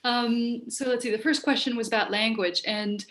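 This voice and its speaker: A woman in her thirties, a native Hungarian speaker, talking informally and haltingly as she answers questions as a writer on a video call. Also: on the high side, fairly even intonation, fairly bright tone. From United States, Hungarian-speaking home. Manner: thoughtful, halting, thinking aloud. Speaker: writer giving an online workshop. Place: book-lined room at home. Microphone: video call, wearing headphones.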